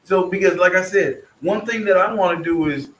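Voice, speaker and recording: Guy hosting a chill group hangout, kinda high voice, echoey room, rambles on. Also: fairly quick, fairly bright tone. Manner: loose, rambling, swears freely. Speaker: stream host. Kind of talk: casual group chat.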